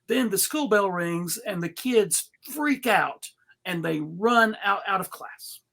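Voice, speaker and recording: Medium-pitched woman, slow pace, narrator, stage directions, live comedic table read. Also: animated, a bit bright.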